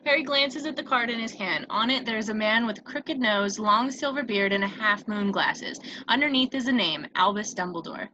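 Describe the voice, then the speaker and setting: Woman narrator, scripted stage directions, bright tone, highish pitch, some background noise. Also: moderate pace, few pauses, highs cut off. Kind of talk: scripted narration at a table read. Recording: noticeable background noise on home mic.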